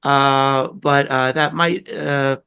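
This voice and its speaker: A man hosting a podcast interview, a little hoarse, talking in a chatty, rambling way with many restarts. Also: medium pitch, fairly even intonation, slow, pushed and bright. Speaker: podcast host. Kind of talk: casual conversational interview.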